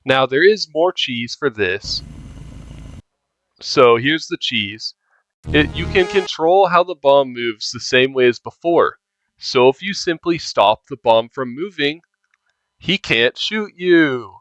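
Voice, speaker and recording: A man's voice: mid-range voice, lively intonation, slow pace, a bit bright, no echo, rough recording. Casual man narrating a game guide. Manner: informal, talking directly to viewers. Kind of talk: casual video game walkthrough commentary.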